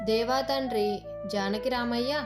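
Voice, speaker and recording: A woman narrates a scripted tale in a fairly high voice. Faint music plays underneath her storytelling. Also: fairly even intonation, moderate pace.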